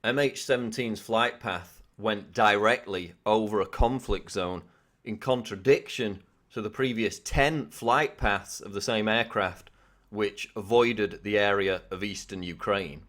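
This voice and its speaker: A man's voice: on the low side, measured pace, a bit bright. A man delivering political news commentary in an insistent, questioning manner. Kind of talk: scripted news commentary to camera.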